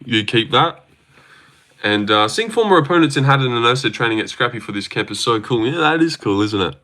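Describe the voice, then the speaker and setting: A man's voice: mid-range voice, expressive, a little fast, bright, forceful tone. An Australian man hosting a casual livestream, answering viewer comments in a rambling, joking way with lots of fillers and restarts. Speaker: livestream host.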